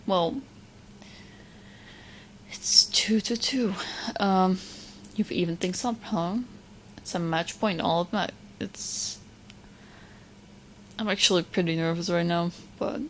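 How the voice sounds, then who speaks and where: A woman playing a sweet, clingy, lovestruck young witch in a soft, intimate ASMR roleplay, speaking in hesitant, doting phrases. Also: lowish voice, creaky, slight background noise, poor audio. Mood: flustered, then nervous, playful.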